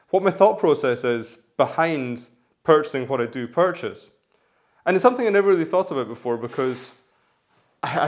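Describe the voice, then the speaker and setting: A man hosting a menswear vlog, talking casually and rambling with many restarts, his voice hoarse from the flu. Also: on the high side. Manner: rambling, chatty, frequent restarts. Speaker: menswear vlogger.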